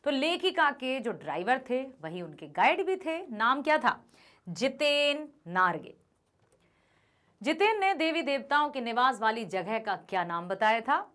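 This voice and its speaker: A woman's voice: high-pitched, big pitch swings, a little slow, fairly bright tone. A woman teacher going through multiple-choice exam questions. She reads out each set of options and then explains the answer to her students. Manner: addresses students as children. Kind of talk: exam revision lesson.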